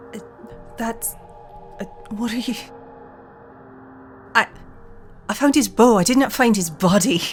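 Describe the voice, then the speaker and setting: A woman's voice: fairly high pitch, faint music behind. A woman playing a distressed, stammering young apprentice in a fantasy audio drama, loyal to a missing master. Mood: distressed, disbelieving. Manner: halting, stammering. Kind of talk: actual-play audio drama acting.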